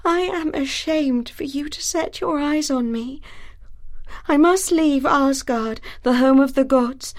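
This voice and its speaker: Woman reading a heartbroken young goddess, sobbing, high and hushed; storybook. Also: slow. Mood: tearful. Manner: sobbing, pleading. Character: grieving young goddess, gentle and ashamed.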